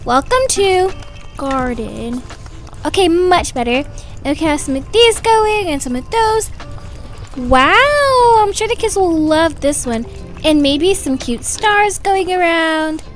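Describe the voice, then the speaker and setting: A woman's voice: very high-pitched, expressive, slow pace, faint music behind, full character voice. A woman voicing a perky, self-satisfied kindergarten teacher who chats and instructs in a roleplay story. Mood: pleased, chatty. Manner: chatty, self-admiring, instructing. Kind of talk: animated doll-game roleplay voice acting.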